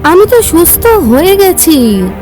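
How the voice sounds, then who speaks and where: A woman's voice: extremely high pitch, sing-song, slow pace, over music, exaggerated voice. A woman playing a kind-hearted, earnest schoolgirl in an audio story. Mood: pleading.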